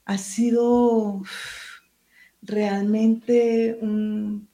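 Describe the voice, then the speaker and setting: Woman writer, mellow tone, hesitant, reads her own prose aloud. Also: medium pitch, level tone, extremely slow, vocal fry. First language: Spanish. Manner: hesitant, searching for words. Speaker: writer and teacher. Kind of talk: interview answers and reading from her book.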